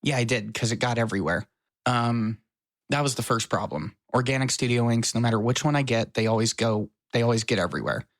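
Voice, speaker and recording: A man's voice: medium pitch, fairly quick, dry recording. Joking man, dry close sound. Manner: joking, self-mocking. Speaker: podcast co-host. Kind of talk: casual chat. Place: his apartment.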